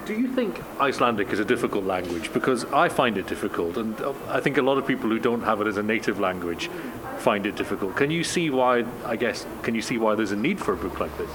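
A man hosting a radio interview, asking measured questions that often end in tag questions. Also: fairly low pitch, very animated. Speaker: radio host. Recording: noticeable background noise.

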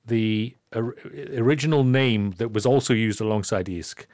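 A man's voice: lowish voice, normal speed, darkish tone, no echo, clean studio sound. A middle-aged man hosting a podcast, chatting casually and jokingly with a guest, often restarting his phrases. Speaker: podcast host. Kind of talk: casual conversational interview.

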